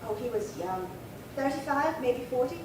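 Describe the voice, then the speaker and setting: Actress as a prim old woman, high swooping voice, fussy, indignant, rambling, radio crime drama, very echoey distant recording. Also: animated, normal speed, a little mellow, close to an everyday voice. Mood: earnest recounting. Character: prim, chatty, indignant old woman of seventy-eight reporting burglary. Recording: very echoey, distant, noisy room.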